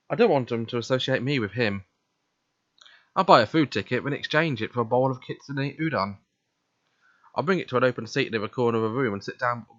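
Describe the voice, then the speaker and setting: A man's voice: medium pitch, a bit bright, no echo. A man reading first-person visual novel narration aloud as the narrator. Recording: dull, muffled home recording.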